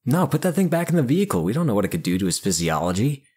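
A man voicing a gruff, impatient federal agent boss, brusque and exasperated. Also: medium pitch, sing-song, racing, darkish tone, voice pushed up. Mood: commanding.

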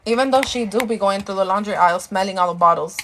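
A woman's voice: medium pitch, quick pace, a bit bright, slight background noise. A woman, chatting casually as a live-stream host, thanking viewers and slipping into Spanish, a bit tired and out of breath. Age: 30s.

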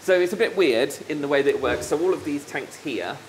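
A young man on staff at a fish shop, explaining his tanks in a relaxed way with lots of ums and yeahs, recorded in a large indoor shop. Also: on the high side, fairly quick, a little hiss or hum. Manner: relaxed, explains with fillers like um and yeah. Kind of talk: casual walkthrough chat.

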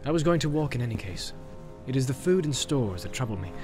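Audiobook ranger guide, played by a male reader: grave, terse, practical, over clear music. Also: mid-range voice, big pitch swings, fast talker, natural voice. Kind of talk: dramatized audiobook acting.

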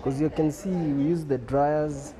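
A man's voice: a little high, fairly even intonation, measured pace, crowd noise, low-quality recording. A young man from Kenya, a hairdresser, speaking casually and plainly in an indoor salon on a lapel mic. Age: young adult. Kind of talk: news interview.

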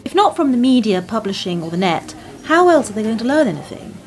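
A woman's voice: on the high side, big pitch swings, fast. A woman playing an earnest, inquisitive admirer with a questioning manner in a radio play. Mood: challenging. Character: eager, inquisitive female fan with a dull job. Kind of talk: radio drama dialogue.

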